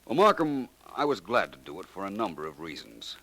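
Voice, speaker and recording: An actor in a 1940s radio drama playing a composed gentleman detective who lays out how a murder was done. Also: deep voice, fairly quick, heavily acted. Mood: explaining. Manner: calm, explanatory. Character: suave, cool-headed gentleman detective.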